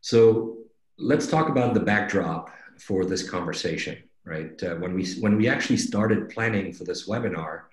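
A man's voice: deep voice, normal speed. A middle-aged man presenting conversationally on a webinar, explaining things and often checking in with "right?". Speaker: company executive presenting a webinar. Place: indoors on a video call. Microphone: video call microphone. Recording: roomy, echoing video-call audio.

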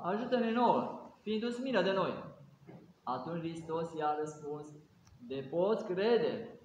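A middle-aged man with a Romanian accent preaches a sermon in a fairly high voice, pressing home his points with rhetorical questions. His words ring through a big church with heavy echo. The sound is a little dull and muffled. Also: a little hiss or hum. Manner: exhorting, rhetorical questions, earnest preaching cadence. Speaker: Orthodox priest preaching. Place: large ornate church, indoors. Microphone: no mic, camera a few steps away.

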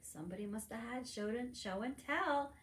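A middle-aged woman reads a picture book aloud to preschoolers in an expressive, teacherly manner, adding simple asides. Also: on the high side, moderate pace. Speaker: librarian storytime reader. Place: library room among bookshelves, indoors. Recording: roomy indoor echo.

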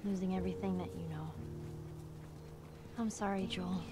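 A woman's voice: fairly low pitch, steady tone, moderate pace, over music, natural voice. An actress voicing an earnest, determined teenage girl survivor in a game cutscene.

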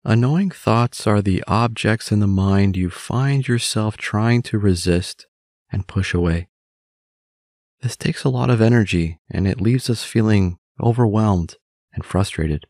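A man guides a meditation in a low voice with a gravelly edge. He speaks very slowly and calmly, giving gentle, measured instructions. The sound is dry and close. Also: dry recording.

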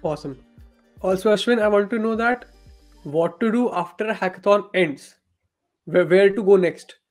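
A podcast host with a high-pitched voice puts short, friendly questions to his guest. Soft music plays faintly behind him. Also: moderate pace. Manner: friendly, brief prompting questions. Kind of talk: casual interview questions.

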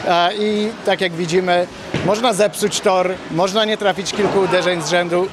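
Man with a high voice in a noisy bowling alley. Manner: calm, measured explanations. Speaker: team psychologist. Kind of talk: on-camera interview. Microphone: handheld reporter mic. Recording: noisy venue background.

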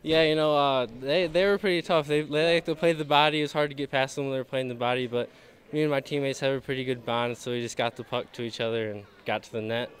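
A teenage boy with a very smooth, clean voice answers questions at an indoor ice rink. He speaks modestly and a little haltingly into a microphone held out to him. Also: medium pitch, steady tone. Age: teens. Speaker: high school hockey player. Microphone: handheld microphone held by interviewer.

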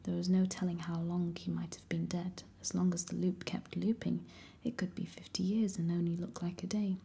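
A woman reading a first-person story aloud in a steady, hushed whisper. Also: fairly low pitch, normal speed, vocal fry. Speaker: audiobook reader.

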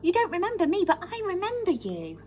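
A woman voicing a brave young boy hero in a children's story, in a raised, excited voice. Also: very high-pitched, fairly quick, lo-fi sound, pitched above the performer's own voice, full character voice. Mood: earnest.

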